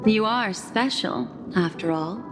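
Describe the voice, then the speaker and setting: A woman playing a poised, mysterious memory keeper in a video game, speaking in a measured, coaxing way with a gentle tease. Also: medium pitch, lightly acted. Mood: soothing. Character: poised, mysterious, teasing female memory keeper, soothing and confident. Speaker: game character voice actor. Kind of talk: scripted video game dialogue. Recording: long reverb, clear background music.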